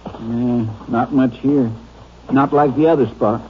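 A man's voice: medium pitch, fairly even intonation, slow, close to an everyday voice. Folksy Southern sheriff acted by a man, tinny sound. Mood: plainspoken. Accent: American Southern. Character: folksy, dutiful Texas country sheriff. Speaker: actor in a radio crime drama. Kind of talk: old-time radio drama acting. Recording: thin, noisy old radio recording.

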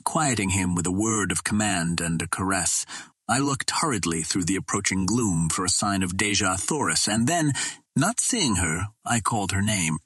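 A man reading an adventure novel aloud in a measured, earnest manner. His voice is low and husky. The recording is close and dry, with no room sound at all. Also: expressive, fairly bright tone, no echo. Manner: measured and earnest first-person narration. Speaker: audiobook narrator. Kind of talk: dramatic audiobook reading.

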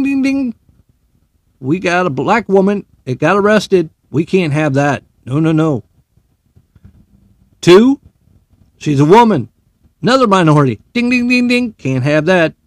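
A man ranting in a sarcastic, profane monologue, his voice a touch high and swinging widely up and down as he mocks and scolds. He speaks as a military veteran airing his opinions, and the sound is dry and close with no room around it. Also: no echo.

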